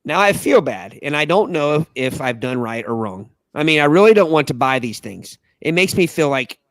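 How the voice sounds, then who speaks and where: Lively-voiced man reading a post aloud. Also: fairly high pitch, moderate pace, dry recording. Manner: reads with frequent laughing breaks and asides. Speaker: podcast host reading a listener post. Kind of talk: casual podcast chat, reading aloud.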